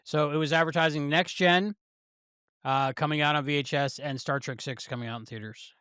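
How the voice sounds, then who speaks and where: Man, fairly high voice, podcast co-host, casual review chat, dry studio-like sound. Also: fairly even intonation, fairly quick, sharp tone, smooth, no room sound.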